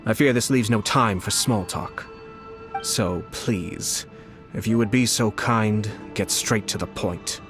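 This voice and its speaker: A curt, haughty official. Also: male voice, lowish voice, slow. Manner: curt, formal, condescending. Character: busy, haughty high-ranking male official. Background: music.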